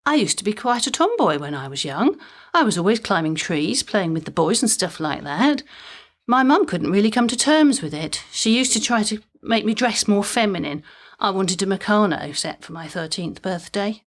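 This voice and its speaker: Actress playing a lively, wry, mischievous mum. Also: female voice, mid-range voice, moderate pace. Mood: chatty. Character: chatty, wry mother with a mischievous streak. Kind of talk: audio drama comedy dialogue.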